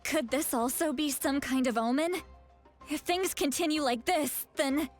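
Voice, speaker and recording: A woman's voice: high-pitched, a little slow. A woman playing a cool, matter-of-fact young mystic in a video game, blunt and composed. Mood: uneasy. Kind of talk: English video game voice acting. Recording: game music behind the voice.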